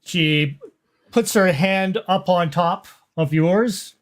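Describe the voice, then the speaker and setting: A game master narrating a tabletop roleplaying game in a halting, descriptive way. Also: mid-range voice.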